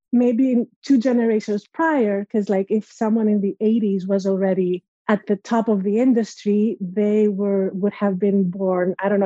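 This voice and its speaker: A woman's voice: medium pitch, mellow, no echo, highs cut off. A young woman from Greece telling a personal story as a podcast guest, earnest and reflective. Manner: earnest, reflective, acts out quoted voices.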